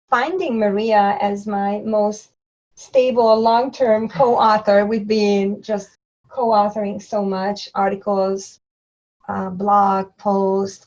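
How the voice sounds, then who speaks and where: A woman's voice: medium pitch, a little mellow. Multilingual woman, muffled remote audio. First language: not English. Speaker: guest, education professor. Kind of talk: podcast interview.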